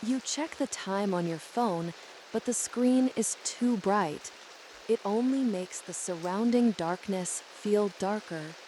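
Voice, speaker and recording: A woman narrating a guided sleep meditation in a soothing, measured and deliberate way. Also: medium pitch, mellow, slight background noise, low-quality recording.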